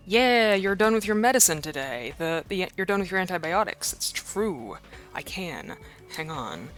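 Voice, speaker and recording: Woman streamer, a bit hoarse, low-ish pitch, rambling playful chat. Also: big pitch swings, normal speed, fairly bright tone, soft background music. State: slightly hoarse. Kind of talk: casual live-stream chat.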